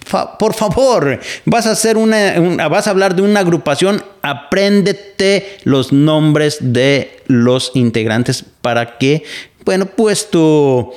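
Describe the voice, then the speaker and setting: A Mexican man with a voice pitched a little above the usual for men hosts a podcast. He talks in a loose, rambling monologue, full of fillers and self-corrections, with the relaxed ease of someone long used to talking on air. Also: slow. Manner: rambling, frequent fillers and restarts. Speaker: podcast host. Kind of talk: casual opinion monologue. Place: living in the United States.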